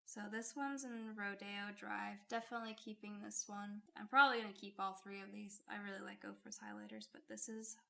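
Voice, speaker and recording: A woman's voice: mid-range voice, fairly even intonation, fairly quick, vocal fry, few pauses, sharp tone. A woman hosting a casual makeup vlog, chatty and friendly, her voice still a little off from a recent illness. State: recovering from illness, voice off. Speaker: beauty YouTuber.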